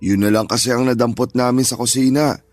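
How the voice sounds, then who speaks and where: A man's voice: mid-range voice, steady tone, fairly quick, lightly acted. An actor playing an elderly Filipino grandfather, stubborn but joking and reassuring, in radio drama style. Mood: mild, welcoming. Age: older. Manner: gentle, reassuring, fatherly.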